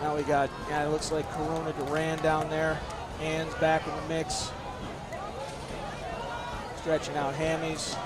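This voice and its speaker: A man calling a live soccer match play by play, hoarse and losing his voice, his voice jumping up on big chances. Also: a little high, a little slow, pauses often. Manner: excitable, voice jumps up on big chances. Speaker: play-by-play commentator. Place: outdoor soccer field. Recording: loud crowd and outdoor noise. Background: cheering crowd.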